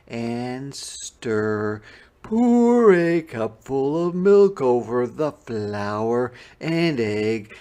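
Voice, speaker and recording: Man as a patient, slow-speaking storybook mother. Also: medium pitch, darkish tone, pitched above the performer's own voice. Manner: patient, deliberate instruction. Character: calm, instructing mother in a storybook. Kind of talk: children's read-aloud.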